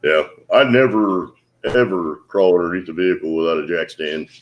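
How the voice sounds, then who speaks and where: A man with a very low, deep voice talks casually as the host of a livestream. He gives calm, steady advice at an easy pace. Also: moderate pace, a little hiss or hum.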